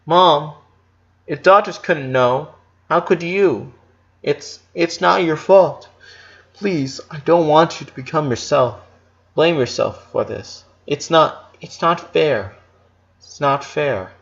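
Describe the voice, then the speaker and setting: A man voicing a gentle, sick young boy in a story reading, earnest and halting as he pleads. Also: fairly high pitch, creaky, highs cut off, voice pushed up, exaggerated voice. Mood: distressed, protective.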